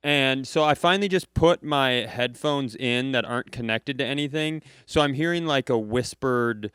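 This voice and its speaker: A man hosting a comedy podcast, telling a story in a casual, animated way and slipping into other people's voices. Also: mid-range voice, normal speed, clear, resonant tone, dry recording. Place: live venue, close mic.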